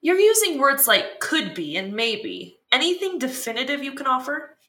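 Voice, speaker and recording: Bright, high-voiced woman interviewer. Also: sing-song, normal speed, heavily acted. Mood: engaged, curious. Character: keen, curious woman talk show interviewer. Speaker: talk show host. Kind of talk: scripted interview in a fiction podcast.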